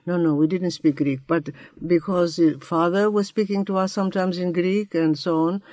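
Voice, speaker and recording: A woman of around ninety, recalling her past in a halting way, often repeating "yeah". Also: fairly low pitch, steady tone, a little mellow, no room sound. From Romania. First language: Romanian. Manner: halting, many repeated yeahs. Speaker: interviewed poet. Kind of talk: reflective conversation.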